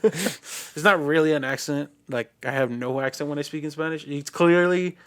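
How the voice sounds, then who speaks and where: A man's voice: fairly high pitch, moderate pace, clean tone. Rambling, laid-back American man. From United States. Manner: rambling, full of "like" fillers. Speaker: podcast guest. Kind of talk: casual chat. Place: podcast studio.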